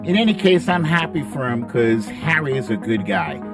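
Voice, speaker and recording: A man's voice: medium pitch, normal speed, music in the background. A middle-aged man hosting a casual livestream, chatting with viewers in colloquial, salty phrasing on a close broadcast mic. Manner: animated, colloquial, salty street-talk phrasing. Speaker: livestream host. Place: home studio room, indoors. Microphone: close broadcast mic on boom arm.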